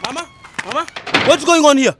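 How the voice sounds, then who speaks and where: A man's voice: extremely high pitch, expressive, background noise. An actor playing a concerned village boatman in a radio drama, a peacemaking brother who urges and exhorts. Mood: annoyed, worried. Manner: exhorting, hurried.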